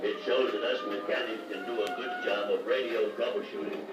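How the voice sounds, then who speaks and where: Folksy low-voiced American mechanic played by an actor. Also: male voice, steady tone, a little fast, natural voice. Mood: proud. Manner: folksy, plain-spoken, ungrammatical working-man talk. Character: folksy, confident veteran male car mechanic. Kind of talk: 1950s training filmstrip dramatized dialogue. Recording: muffled, echoey 1950 record, distant, music behind.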